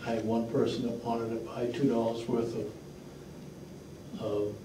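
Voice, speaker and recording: A man's voice: medium pitch, level tone, takes it slowly, noisy background. A man speaking in a blunt, matter-of-fact way at a public meeting, picked up by a distant mic in an echoey room. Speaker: fundraiser organizer speaking at a town meeting. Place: mid-sized indoor meeting room. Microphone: far room microphone.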